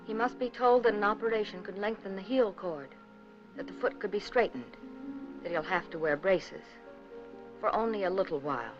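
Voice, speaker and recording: A woman narrates a 1950s documentary in a mid-pitched voice. Her delivery is scripted, earnest and measured, with a rhetorical turn to her phrasing. The old film soundtrack is dull and lo-fi, with music playing behind her. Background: background music.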